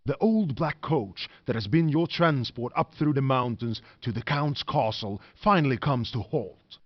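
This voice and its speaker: An actor playing an ominous, theatrical storyteller who narrates a gothic horror video game with solemn scripted drama. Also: male voice, medium pitch, animated, a little slow, no room sound. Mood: grave, ominous. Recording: dull, dry, lo-fi game audio.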